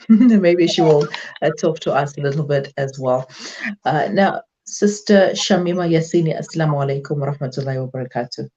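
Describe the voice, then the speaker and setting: Very low-voiced female radio host. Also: a little fast, dull audio. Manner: relaxed, many "uh" fillers. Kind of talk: radio talk show hosting.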